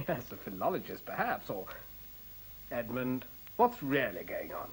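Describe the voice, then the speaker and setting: A man's voice: medium pitch, very animated, unhurried, slight background noise, low-quality recording. A man playing a sceptical, wry biologist in a radio play, questioning with precise words.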